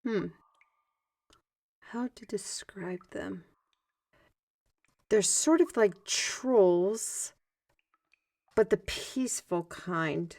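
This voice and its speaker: A woman playing a young, learned squire in an audio roleplay, explaining things in an animated, chatty manner. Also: mid-range voice, very slow, vocal fry, long pauses, heavily acted. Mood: explanatory.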